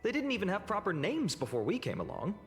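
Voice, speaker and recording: A man's voice: high voice, wildly swooping pitch, fast talker, big-room sound, faint music behind. A man voicing a tall, dashing young man in a video game, speaking lightly and nostalgically. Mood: nostalgic. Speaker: game character.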